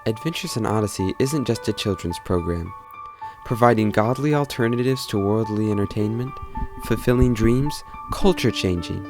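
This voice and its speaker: A man's voice: on the low side, moderate pace, music in the background. A man reading scripted documentary narration.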